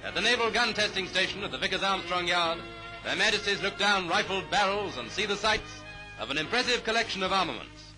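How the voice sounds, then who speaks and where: A man's voice: sits high, expressive, a little fast, rough recording. A man giving formal, clipped 1930s newsreel narration in a declamatory, upbeat manner, on an old newsreel soundtrack. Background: orchestral music.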